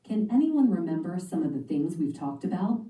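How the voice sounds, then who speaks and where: A woman reading aloud the lines of a kind schoolteacher giving a class clear instructions. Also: lowish voice, very animated, moderate pace, warm tone. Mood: instructing. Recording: roomy and distant.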